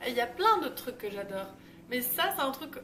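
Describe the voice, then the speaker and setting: A woman's voice: on the high side, big pitch swings, a little fast, pushed and bright. A young woman in her twenties chatting casually in a vlog with lots of fillers. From Belgium. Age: 20s. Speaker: YouTuber. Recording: roomy echo, distant mic, noisy.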